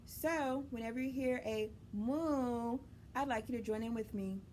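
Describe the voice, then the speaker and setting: A woman with a high voice reads a picture book to young children as a story time host. She takes her time, expressive and deliberate, addressing the listeners directly. There is noticeable noise behind her.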